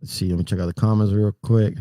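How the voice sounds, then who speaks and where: Deep, mellow Puerto Rican trucker. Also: male voice, fast talker, no room sound, studio quality. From Puerto Rico. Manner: relaxed, rambling, greets chat by name, chuckles often. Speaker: trucker livestream host. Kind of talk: casual livestream chat with viewers.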